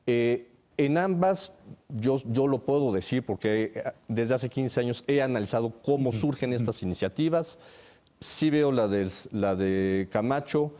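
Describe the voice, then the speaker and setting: Hombre mexicano de voz suave, analista metódico. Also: male voice, mid-range voice, measured pace, warm tone. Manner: methodical, enumerates his points. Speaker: security analyst on a panel. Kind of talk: TV panel discussion, analytical commentary.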